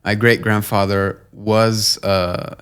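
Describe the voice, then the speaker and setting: A young man from Long Island, guest on an interview show, talking in a measured, earnest way with fillers and restarts. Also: on the low side, flat delivery, fairly bright tone, clear, resonant tone. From Long Island, New York. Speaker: guest, nonprofit co-founder. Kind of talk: conversational interview.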